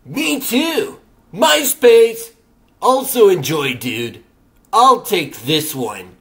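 A man voicing an excitable, jumpy teenage sidekick boy in an amateur comic-book dub. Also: high-pitched, drawn-out words, exaggerated voice. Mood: wary. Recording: loud music under the voice. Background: loud music.

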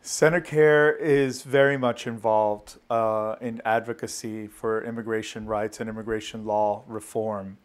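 A man's voice: lowish voice, fairly even intonation, unhurried, hardly any pauses. Middle-aged man explaining in an office. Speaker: immigrant services staff member. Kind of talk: interview explanation.

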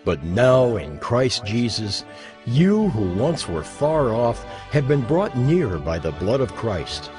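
A man reading scripture as a dramatized narration, solemn and measured, with emphatic phrasing. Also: medium pitch, big pitch swings. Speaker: Bible narrator. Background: music under the voice.

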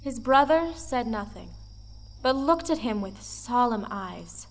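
A woman's voice: on the high side, lively intonation, slow pace. A woman narrating a storybook audio play in a dramatic style. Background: faint music.

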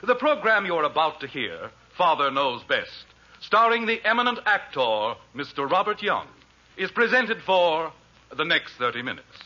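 A man playing a wry, mock-solemn narrator of a family comedy in formal, deliberate 1940s radio style. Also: on the high side, big pitch swings, bright, forceful tone, heavily acted. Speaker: announcer, narrator. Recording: muffled 1940s radio broadcast with music.

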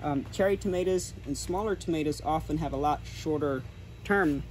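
A man's voice: fairly high pitch, moderate pace. A young man giving an informal, explanatory tour as a guide, recorded on a handheld camera. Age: young adult. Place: large greenhouses and garden center yard. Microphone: camera or phone a few steps away. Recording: clear background noise, handheld camera video.

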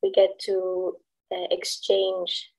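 Relaxed woman on a video call. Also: mid-range voice, takes it slowly, vocal fry, poor audio. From El Salvador. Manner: relaxed, laughs often, trailing off with fillers. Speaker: guest. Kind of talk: casual interview. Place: home room, video call.

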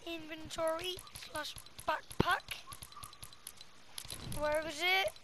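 A woman's voice: very high voice, fairly even intonation, slow pace, background noise. A female speaker in casual role-play while playing a video game, recorded at home.

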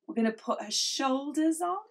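A middle-aged woman leading a children's drawing lesson in a chatty, encouraging, animated way. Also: high-pitched, moderate pace. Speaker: illustrator leading a children's draw-along. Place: small indoor room at a whiteboard.

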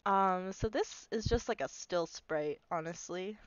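Young woman, nasal with a cold, low-pitched and unhurried, rambling screen-recording walkthrough. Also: dry recording, highs cut off. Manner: rambling, filler-heavy, self-deprecating. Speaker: animator explaining her video.

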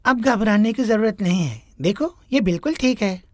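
A woman voicing an earnest young man, a caring son, in an animated story. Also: medium pitch, normal speed, no echo, clean studio sound, natural voice. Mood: reassuring. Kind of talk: Hindi animated moral story acting.

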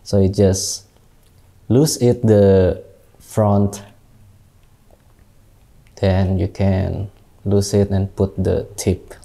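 Slow, dark-voiced Indonesian man unboxing. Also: deep voice, level tone, vocal fry. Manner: unhurried, halting non-native English with many fillers. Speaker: tattoo artist reviewing gear. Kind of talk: casual product unboxing. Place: small indoor tattoo studio room. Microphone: lapel mic.

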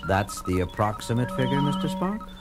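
An actor playing a decisive, authoritative starship captain in a 1970s audio drama. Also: male voice, medium pitch, big pitch swings, normal speed, natural voice. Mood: calm. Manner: authoritative, clipped orders. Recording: loud music behind the voice.

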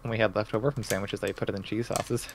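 A man's voice: on the low side, little pitch movement, fast, noisy background. A man chatting casually and with joking sarcasm as he plays a co-op speedrun, keyboard clicking behind him. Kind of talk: casual chat while gaming. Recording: faint keyboard clatter and clicks.

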